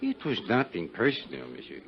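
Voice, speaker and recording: An actor playing a shady, suave gangster in a 1950s radio drama, with a French accent and an oily, evasive, falsely courteous manner. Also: male voice, medium pitch, huge pitch swings, a little fast. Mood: smooth, guarded. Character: shady, suave Algiers gangster, sly and menacing. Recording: muffled 1950s radio recording, crowd murmur behind.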